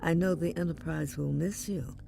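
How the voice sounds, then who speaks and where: Woman acting a creaky-voiced, defiant elderly starship captain. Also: low voice, fairly even intonation, moderate pace, dark tone, slight background noise. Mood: composed authority. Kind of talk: science fiction audio drama acting.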